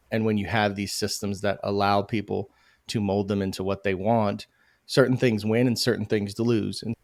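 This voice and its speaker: Emphatic low-voiced man, dry close mic. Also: vocal fry, dry recording. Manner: animated, emphatic, self-correcting. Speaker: crypto project founder. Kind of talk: podcast interview.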